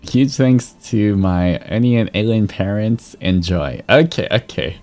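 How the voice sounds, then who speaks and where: A man's voice: fairly low pitch, expressive, unhurried. A man hosting a livestream, moving between reading fan fiction and chatting casually with viewers. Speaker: VTuber streamer host. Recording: soft music behind. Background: background music.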